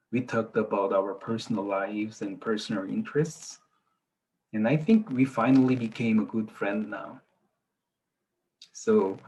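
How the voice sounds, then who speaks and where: Formal, grateful young man, lower voice. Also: darkish tone, poor audio. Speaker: mentee. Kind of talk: prepared testimonial.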